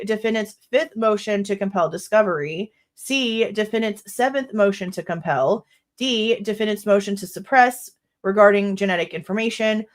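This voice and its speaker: Stuffed-up young female host, mid-pitched, reads legal filings with exasperated commentary. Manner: exasperated, blunt asides with mild swearing. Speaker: true crime livestream host. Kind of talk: reading a legal brief aloud with commentary.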